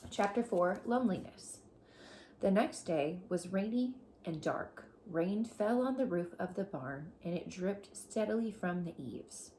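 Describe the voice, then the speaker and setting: A woman reading a children's storybook aloud as a teacher, deliberate and explanatory as she addresses young listeners. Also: medium pitch, animated, warm tone, a little hiss or hum, rough recording.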